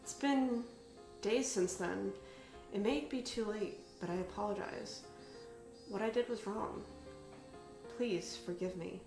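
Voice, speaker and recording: A woman's voice: mid-range voice, slow pace. A woman voicing an earnest, serious teenage boy in a story reading. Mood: remorseful. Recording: roomy echo with music behind.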